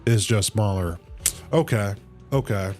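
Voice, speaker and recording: A man hosts a casual livestream with soft music behind him. His voice sits a little low and he speaks at a slow pace. He thinks aloud as he answers his viewers, often stopping and repeating the start of a phrase. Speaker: livestream host.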